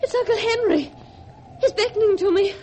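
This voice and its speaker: A woman's voice: very high-pitched, brisk, mellow. A young woman playing a fearful, haunted heiress, earnest and melodramatic, in 1940s radio horror acting. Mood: frantic. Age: young adult. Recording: noisy 1940s radio recording.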